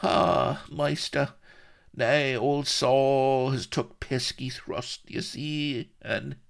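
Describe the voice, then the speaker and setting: A man's voice: mid-range voice, very slow, vocal fry, pitched above the performer's own voice. A man voicing a ragged, wizened old male castaway who blusters in a quavering yokel way. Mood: feeble. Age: older.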